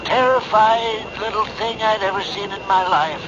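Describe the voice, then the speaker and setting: A polite, halting ex-cop, played by a man with a high voice. He stumbles over his words, and the dull old recording carries steady hiss. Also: lightly acted. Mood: hesitant. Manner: hesitant, full of uh's, calls others sir. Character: older male ex-cop on the phone, halting and polite. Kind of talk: 1970s radio drama acting. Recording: dull lo-fi vintage radio broadcast with hiss.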